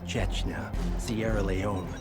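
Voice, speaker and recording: A man playing a calculating, self-assured leader of a secret cabal in a video game, measured and controlled. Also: on the low side, level tone, over loud music. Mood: grave, explanatory.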